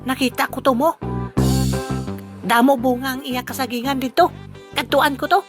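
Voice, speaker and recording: Brief: performer acting as a very high-voiced, impish schemer for comic audio drama, giddy, exclamatory self-talk, music underneath. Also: steady tone, normal speed, close to an everyday voice. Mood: gleeful scheming. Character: scheming, hungry, impish banana thief, giddy and vengeful.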